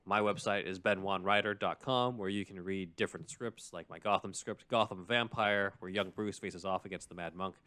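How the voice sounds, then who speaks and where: Guy with a lowish voice doing podcast plugs, joking around, casual. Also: fairly even intonation, normal speed, hardly any pauses, sharp tone, clear, resonant tone, dry recording. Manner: jokey, bantering. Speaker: podcast co-host.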